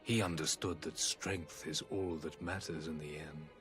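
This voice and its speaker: A man's voice: rumbling low, steady tone, unhurried. A man playing a scornful middle-aged emperor in a fantasy game, speaking in formal, haughty, archaic oratory. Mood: cold disdain. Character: imperious, scornful middle-aged male emperor of a militarist empire. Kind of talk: scripted fantasy game cutscene acting. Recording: loud orchestral music behind.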